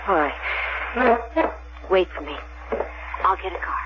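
A woman's voice: fairly low pitch, fairly even intonation, measured pace, background noise, close to an everyday voice. An actress playing a devoted young American woman on the run, telling her story earnestly in a radio drama. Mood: urgent, anxious. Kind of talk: 1970s radio drama narration and dialogue. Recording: muffled radio recording, loud music behind.

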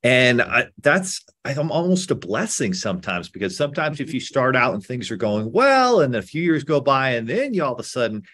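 A man's voice: medium pitch, sing-song, normal speed, hardly any pauses, fairly bright tone. A man hosting an interview podcast, affirming his guest and asking questions with repeated words. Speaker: podcast host.

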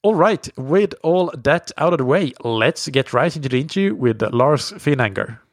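A man hosting a podcast, reading sponsor messages and then interviewing a guest in a relaxed, conversational way with frequent fillers. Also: a little high, moderate pace. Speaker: podcast host.

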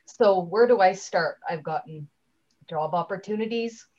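A woman giving a conversational interview as a guest speaker, chatty and halting, often leaving sentences unfinished, on slightly dull audio. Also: on the low side, a little mellow. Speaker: guest, LinkedIn trainer and speaker.

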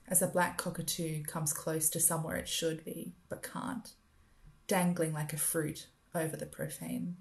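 An Australian woman reading her own literary writing aloud in a measured, deliberate, poetic way. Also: fairly low pitch, darkish tone. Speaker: writer performing own work. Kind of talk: literary spoken-word reading.

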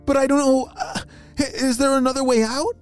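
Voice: sits high, little pitch movement, fairly quick, faint music behind, voice pushed up, natural voice. A storyteller voicing a frightened young female rabbit in a children's story. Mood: nervous.

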